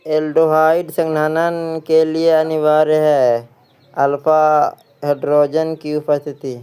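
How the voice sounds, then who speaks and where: Man, Indian accent, coaching teacher, mellow tone, even pitch, quiz read-aloud, repeated phrases. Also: slow pace, clear, resonant tone. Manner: repeats questions and answers. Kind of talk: reading quiz questions and answers aloud.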